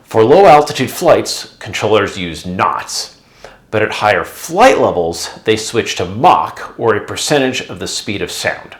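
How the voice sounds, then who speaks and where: A man's voice: lowish voice, big pitch swings, unhurried. A man narrating a scripted explainer in a didactic, conversational way. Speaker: presenter, former air traffic controller.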